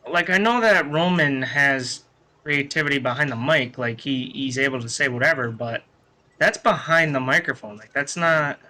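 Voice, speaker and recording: A man's voice: medium pitch, moderate pace, bright, forceful tone. A man co-hosting a podcast in casual chat, blunt and opinionated, swearing freely. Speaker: podcast co-host. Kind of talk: casual wrestling review chat.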